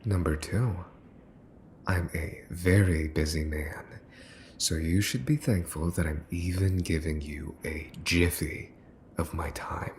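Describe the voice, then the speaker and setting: A man acts out a mafia boss's son for an ASMR roleplay, in a very low voice. He speaks slowly and deliberately, repeating himself with theatrical sarcasm and polite menace. The sound is roomy and echoing. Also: steady tone. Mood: teasing, menacing. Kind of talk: ASMR boyfriend roleplay acting. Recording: roomy echo, faint background noise.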